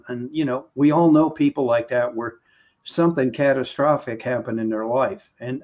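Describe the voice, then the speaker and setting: A man's voice: medium pitch, dark tone. A man hosting a live-stream interview, asking long rambling questions with restarts. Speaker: host. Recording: dull lo-fi sound.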